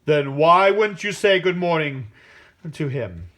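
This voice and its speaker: A man acts out a commanding lion king from an animal folk tale. He addresses his council in drawn-out, emphatic proclamations, with a highly animated melody. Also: exaggerated voice. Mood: stern, accusing.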